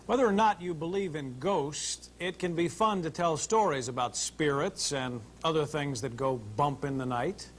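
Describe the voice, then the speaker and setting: High-voiced male TV news anchor. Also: moderate pace, few pauses, slight background noise. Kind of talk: broadcast news anchoring, light banter.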